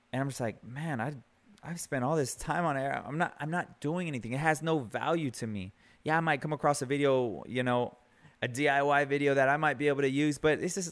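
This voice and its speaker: A man's voice: a little high, fairly bright tone. Earnest man giving a motivational talk. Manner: earnest, conversational, repeats words as he builds a point. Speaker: podcast host. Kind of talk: motivational monologue.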